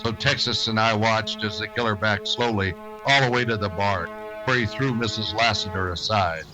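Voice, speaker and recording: A man playing a stern, wry frontier marshal in a western radio drama, steady and unyielding. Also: lowish voice, moderate pace, over music, lightly acted, rough recording. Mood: grave.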